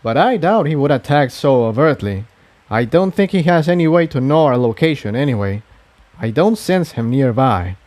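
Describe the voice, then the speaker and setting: A man's voice: medium pitch, animated, moderate pace, a little mellow, no room sound, lightly acted. A man voicing a troubled but good-hearted male pony adventurer in a story reading. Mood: thoughtful.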